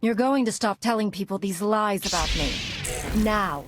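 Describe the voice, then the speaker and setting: A woman's voice: medium pitch, normal speed, very noisy, close to an everyday voice. A woman as stern, commanding game heroine. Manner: firm, clipped, commanding. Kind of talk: video game dialogue acting.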